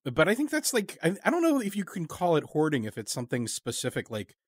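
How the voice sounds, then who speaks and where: A man's voice: on the high side, huge pitch swings, fast talker, breathy edge, dry recording, clean studio sound. A man hosting a casual interview, musing and joking in a wry, conversational manner. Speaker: interviewer, podcast host.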